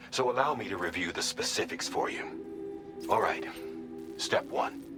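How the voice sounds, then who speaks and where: A composed male operations commander for a sci-fi video game. He briefs in a fairly low voice, formal and orderly, laying out instructions step by step. Music plays clearly underneath. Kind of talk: scripted mission briefing.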